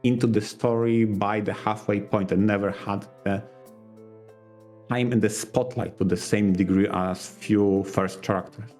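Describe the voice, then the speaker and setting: A man's voice: on the low side, fairly even intonation, a little slow, a little mellow. A man talking casually as a podcast co-host, hesitant and thinking aloud as he goes. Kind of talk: casual podcast chat about visual novels. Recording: music behind the talk. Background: background music.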